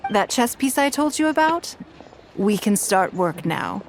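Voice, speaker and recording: A composed, elegant lady of authority from a video game. She has a medium-pitched voice. Her delivery is measured, refined and businesslike. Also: big pitch swings, faint music behind. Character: poised, commanding noblewoman, composed, elegant and businesslike.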